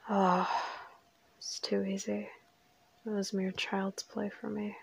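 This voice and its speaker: A woman performs a crazed female assassin holding a captive in an audio roleplay. Her voice is whispery and husky with a gravelly fry. She keeps a narrow, controlled pitch, taunting and threatening without any hurry. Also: level tone, full character voice. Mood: smug, taunting. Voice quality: breathy, whispery. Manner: taunting, unhurried, coolly threatening. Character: crazed, teasing, menacing female assassin kidnapper.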